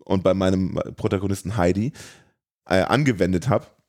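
Male podcast host, low voice, casual and rambling film chat. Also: normal speed, darkish tone.

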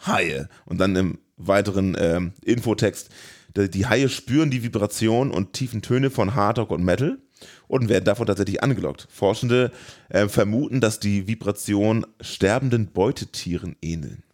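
A man with a fairly low voice co-hosts a relaxed talk podcast. He speaks in colloquial, joking phrases, slipping between reading aloud and off-the-cuff banter. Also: normal speed. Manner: colloquial, jokey. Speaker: podcast co-host. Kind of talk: casual banter, reading out news and TV listings.

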